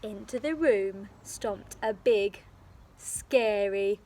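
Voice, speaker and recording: A woman telling a story to young children as a teacher would, with expressive, dramatic and careful phrasing, recorded outdoors. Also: medium pitch, drawn-out words. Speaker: primary school teacher. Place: outdoors in a garden. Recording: faint outdoor background noise.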